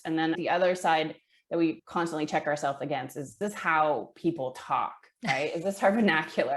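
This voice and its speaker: A woman in her thirties with a low-pitched voice talks as an interview guest. She explains her work conversationally, dropping in small check-ins, from a video call on earbuds. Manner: explanatory, checks in with "right" and "you know". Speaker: interview guest, marketing manager. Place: indoor room at home, video call. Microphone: wired earbuds on a video call.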